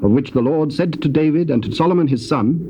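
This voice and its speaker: A man narrating scripture from a script in a formal, measured manner. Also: fairly high pitch. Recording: muffled, noisy old cassette tape.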